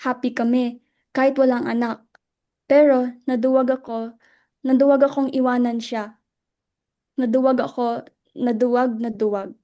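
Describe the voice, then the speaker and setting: Grieving widow played by a woman, dramatic reading: halting, anguished, narrow melody, soft dark tone. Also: on the high side, steady tone, natural voice. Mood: anguished regret. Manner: halting, repeats phrases in anguish. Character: guilt-ridden grieving widow, remorseful, childless wife Luella. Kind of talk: dramatic stage reading in Tagalog.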